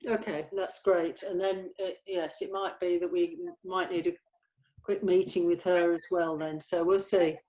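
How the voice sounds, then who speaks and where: A woman's voice: on the low side, fairly even intonation, a little slow, dark tone, poor audio. A woman talking informally and a little hesitantly as an organiser in a production meeting. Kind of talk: casual production meeting talk.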